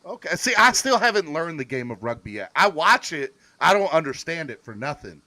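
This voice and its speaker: A man hosting a casual sports podcast, chatting loosely with quick interjections and repeated phrases. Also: a little high, big pitch swings, pushed and bright, no room sound. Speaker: podcast host.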